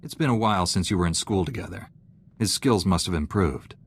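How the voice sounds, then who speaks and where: An actor playing a calm, skeptical heroic knight in a video game, measured and dryly questioning. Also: male voice, sits low, a little hiss or hum. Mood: dry, curious. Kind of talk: video game character dialogue.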